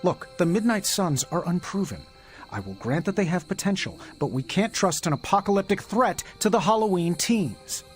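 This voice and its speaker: Cocky, animated wisecracking superhero. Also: male voice, high-pitched, moderate pace, soft background music. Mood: insistent, cocky. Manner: sarcastic, glib, self-assured. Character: cocky wisecracking male superhero team leader. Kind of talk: video game cutscene acting.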